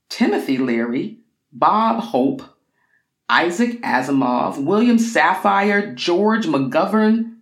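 A woman reading literary, scholarly prose aloud in a measured, deliberate way, with expressive phrasing and drawn-out words. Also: medium pitch, echoey room. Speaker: reader of a book excerpt.

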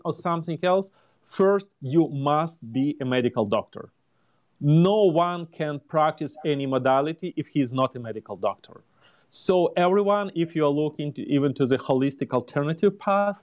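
A man in his forties from Ukraine with a Ukrainian accent, a guest answering interview questions in a halting way, searching for words. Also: fairly high pitch, dull audio. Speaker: homeopath guest.

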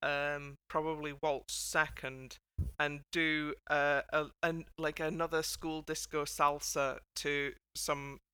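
British woman podcast host, super bright clear voice, wry, chatting casually. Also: sits low, little pitch movement, takes it slowly, dry recording. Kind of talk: casual panel chat.

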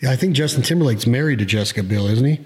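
A man's voice: mid-range voice, animated, very fast, a little mellow. A man hosting a podcast, chatting casually with a guest in a joking, self-doubting way. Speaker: podcast host.

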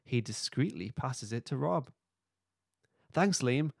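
A man's voice: mid-range voice, expressive, slow pace, creaky, a little mellow, no echo. Dry, close-miked man reading a script. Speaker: podcast host. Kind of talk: reading script narration aloud.

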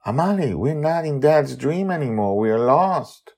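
A man's voice: on the high side, expressive, unhurried, darkish tone, voice pushed up. A man voicing a brave nine-year-old girl who explains bad news plainly. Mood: urgent.

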